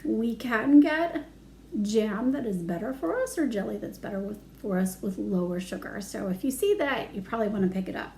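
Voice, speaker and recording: A woman's voice: medium pitch, animated, moderate pace, creaky, warm tone, a little hiss or hum. A woman reading aloud to young children as a storytime educator, singsong, with simple chatty asides.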